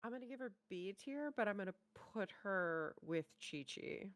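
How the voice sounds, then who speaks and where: A woman's voice: medium pitch, animated, normal speed, no room sound, clean studio sound. A woman chatting casually and giving commentary as co-host of a reaction show.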